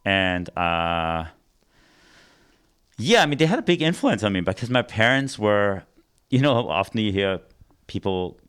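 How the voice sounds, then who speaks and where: A middle-aged man with an Austrian accent who tells his story in a relaxed interview, rambling with many restarts and fillers. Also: fairly low pitch, measured pace, pauses often, clean tone. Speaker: arts program director.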